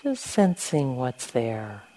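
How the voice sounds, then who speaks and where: A woman leads a guided meditation in a low, mellow voice. She speaks very slowly and gently, leaving long pauses between short phrases as she instructs. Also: very animated. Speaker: meditation teacher.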